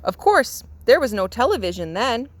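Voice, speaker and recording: A woman's voice: fairly high pitch, sing-song, moderate pace, no room sound. A woman voicing a kindly old grandfather bear in a storybook, reassuring and reminiscing.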